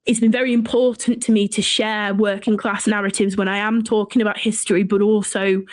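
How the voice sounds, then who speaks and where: A woman's voice: medium pitch, level tone, brisk, no room sound, studio quality. A woman with an English accent talking earnestly and informally as a guest in a conversational interview. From Shropshire, England. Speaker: interview guest, folklore writer.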